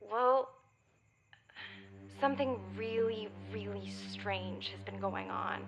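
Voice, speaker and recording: An actress playing a frazzled, insistent grown daughter in an audio comedy drama. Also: female voice, medium pitch, slow. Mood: hesitant. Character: frazzled, insistent adult daughter with a drug habit.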